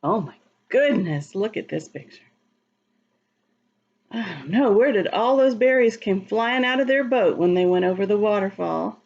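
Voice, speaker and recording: A woman reading a picture book aloud to children in a teacherly, expressive, sing-song way, explaining and asking questions as she goes. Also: mid-range voice, normal speed, lo-fi sound. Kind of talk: read-aloud storytime with asides to children.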